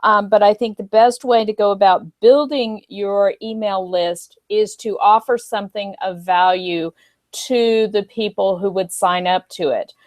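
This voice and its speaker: A woman's voice: medium pitch, fairly even intonation, measured pace, no echo. A woman guest author giving earnest, practical advice in a live online panel chat, with frequent ums.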